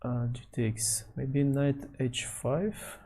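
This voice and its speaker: A man talks slowly through a chess game in English. He thinks out loud, often pausing mid-phrase. Soft mouse clicks can be heard behind him. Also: medium pitch. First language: Nogai. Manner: halting non-native English, thinking out loud. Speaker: chess streamer. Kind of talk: thinking aloud while playing online chess.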